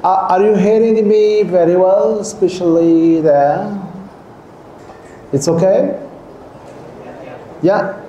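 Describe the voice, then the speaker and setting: A man's voice: high-pitched, slow, pauses often, mellow, lo-fi sound. Middle-aged male lecturer, heard in an echoey lecture hall with a faint hum, addressing students and checking in with them often. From Algeria. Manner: keeps checking in with the audience, repeats and restarts phrases. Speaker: guest professor lecturing students. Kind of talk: seminar lecture.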